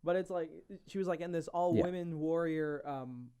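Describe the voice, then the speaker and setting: A man's voice: a little high, normal speed, mellow, clear, resonant tone. A man co-hosting a podcast, chatting casually and informally with others. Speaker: podcast co-host. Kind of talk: casual chat.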